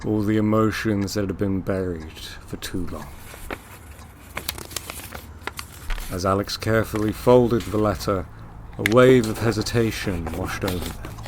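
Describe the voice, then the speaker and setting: A man narrating a scripted audio drama as a solemn, gentle storyteller, measured, with dramatic pauses. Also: on the low side, slight background noise, close to an everyday voice. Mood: solemn, reflective. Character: solemn, gentle male storyteller of a grief tale.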